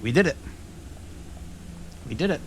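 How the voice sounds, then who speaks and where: A man's voice: on the high side, animated, measured pace. A man with a Rhode Island accent, a game developer chatting casually on a live stream, rambling with lots of fillers and laughs.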